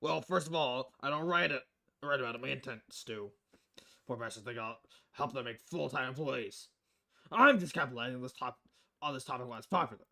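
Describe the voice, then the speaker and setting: A man's voice: mid-range voice, fairly quick, gravelly, bright, forceful tone. A man voicing a crass, cynical, money-loving newspaper editor in a visual novel, brash and foul-mouthed in manner.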